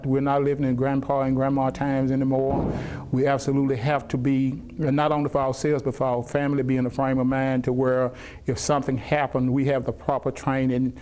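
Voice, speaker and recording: A man's voice: mid-range voice, completely flat delivery, brisk, warm tone, a little hiss or hum. A man working as a self-defense and firearms instructor, giving practical instruction and explanations. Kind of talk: instruction and interview remarks.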